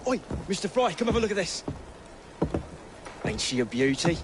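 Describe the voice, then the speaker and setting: An actor playing an eager, jittery working-class London gang lad with a Cockney accent and rough street speech in a video game. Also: male voice, high-pitched, sing-song, normal speed. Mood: eager, proud. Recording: very noisy, vehicle and gunfire clatter.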